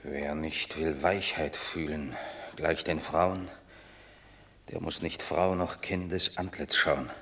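A deep, proud, solemn Roman warrior. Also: male voice, steady tone, slow pace, sharp tone, exaggerated voice. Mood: shaken sternness. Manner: declamatory, solemn, formal. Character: proud banished Roman warrior son, stern yet torn. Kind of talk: classical verse radio drama acting.

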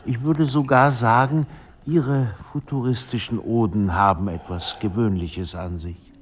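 An actor playing a restless literary man in a radio drama, polite and measured while he argues. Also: mid-range voice, steady tone, breathy edge. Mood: contrary.

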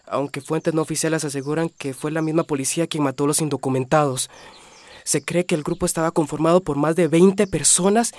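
An actor in a radio drama playing an earnest, anxious and excitable young man. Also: fairly high pitch, natural voice. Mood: reading gravely. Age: young adult.

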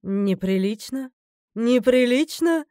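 A woman's voice: medium pitch, level tone, unhurried, clean tone, no room sound, clean studio sound, natural voice. A woman voicing an imperious, easily irritated mother goddess in a fantasy audiobook, speaking in a grand, stern, declamatory way. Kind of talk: fantasy audiobook character acting.

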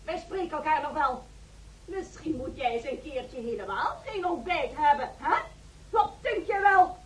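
An imperious lady of the house in a radio play, with an extremely high voice. She is stingy and scolds with indignation. The recording has a faint layer of noise. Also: expressive, a little slow, rough recording. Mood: indignant scolding. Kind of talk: Dutch radio drama acting.

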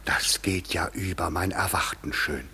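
An actor playing a commanding fairy king who sometimes speaks in a hush, in a classic German radio play. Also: sits low, measured pace, gravelly, breathy edge, exaggerated voice. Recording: long reverb, faint clicks.

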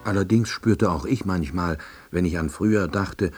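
A glum baffled middle-aged salesman, deep husky voice, dry understated radio play narrator. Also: moderate pace, soft background music. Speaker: narrator and lead character.

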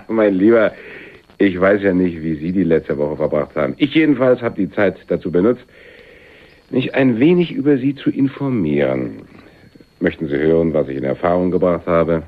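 On a narrow, phone-like radio recording, an actor plays a smug crime-play boss. The man's deep voice is formal and measured, with condescending, menacing politeness. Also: natural voice. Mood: smug, controlled. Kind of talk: German radio crime drama acting. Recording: muffled phone-like old radio drama.

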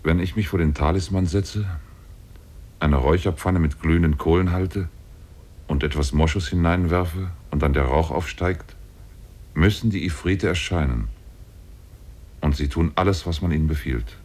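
An actor playing a powerful, lovestruck and possessive demon in a 1950s German radio play, speaking solemnly. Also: male voice, moderate pace, long pauses, a little mellow. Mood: tender, confiding. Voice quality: very deep, husky.

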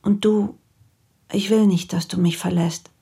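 A woman voicing a weary, battered young mother who speaks softly and pleadingly in an audiobook. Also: medium pitch, steady tone, a little slow, gravelly, husky, heavily acted. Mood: tender. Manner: soft, pleading, often half-whispered. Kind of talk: audiobook dialogue reading.

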